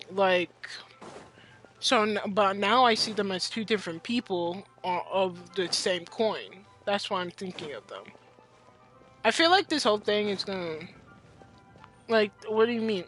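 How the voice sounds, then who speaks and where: Chatty teen girl reacting loudly to a game. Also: medium pitch, rough recording. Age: teens. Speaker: gameplay streamer. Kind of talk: casual gameplay commentary.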